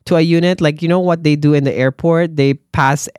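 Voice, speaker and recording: A man hosting a podcast, reading listener stories aloud and reacting with animated exclamations and frequent laughter. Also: on the high side, fairly quick, clear, resonant tone, dry recording, studio quality. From Philippines. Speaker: podcast host, nurse.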